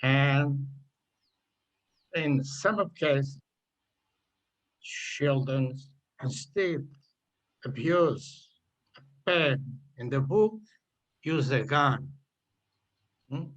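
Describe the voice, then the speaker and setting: An older man from Portugal with a Portuguese accent, speaking English haltingly on a video call about the essay he wrote. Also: mid-range voice, low-quality recording. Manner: halting, searching for words, apologetic. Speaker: contest winner, retiree.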